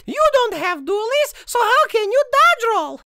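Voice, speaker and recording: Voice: extremely high pitch, sing-song, a little slow, full character voice. A performer voicing a bossy, exasperated girl squid kid in a comic manga fan dub, scolding and emphatic. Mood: exasperated, urgent. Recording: clearly noisy home recording.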